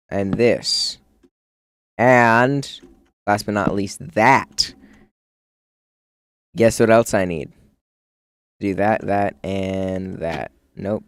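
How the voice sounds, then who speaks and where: Gravelly, slow-talking male streamer. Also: low-pitched, no echo. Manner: mutters to himself while building, calls chat "bro". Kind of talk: casual gaming stream chatter.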